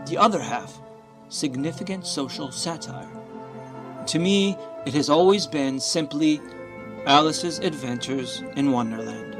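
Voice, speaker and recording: A reflective author played by a man muses on his fairy tale in formal, measured radio-drama phrases. The voice is on the higher side for a man, with music clearly playing underneath. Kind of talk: old-time radio drama monologue.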